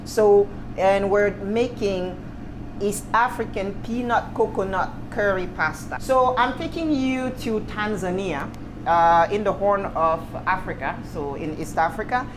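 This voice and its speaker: A woman's voice: mid-range voice, a little slow. A woman in her thirties with a Cameroonian accent, presenting to camera as a guest chef, casually and emphatically. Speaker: guest chef and restaurant owner. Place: restaurant kitchen, indoors. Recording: clearly noisy kitchen ambience.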